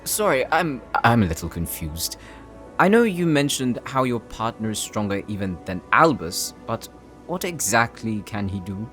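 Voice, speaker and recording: A man's voice: medium pitch, very animated, moderate pace, a bit bright, soft background music. An actor playing a polite, bewildered male companion, hesitant and stammering, in an audio drama. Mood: confused. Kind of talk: anime-style audio roleplay acting.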